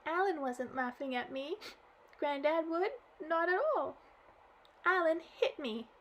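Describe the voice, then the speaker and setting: A woman's voice: a little slow, a little hiss or hum. A woman reading a storybook aloud and voicing a dramatic, tearful little girl who sobs out her complaints. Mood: sobbing. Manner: high, sobbing, wailing delivery.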